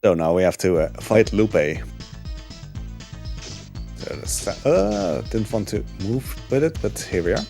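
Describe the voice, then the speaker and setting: A man giving relaxed live commentary on his speedrun, explaining the route with frequent fillers. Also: lowish voice, a little slow, gravelly, pauses often, faint music behind.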